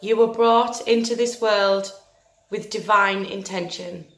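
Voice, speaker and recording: A woman leading a guided meditation in a gentle, soothing, deliberate manner, leaving long pauses between phrases. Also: mid-range voice, steady tone. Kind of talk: guided meditation, scripted narration. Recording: roomy echo with faint music behind.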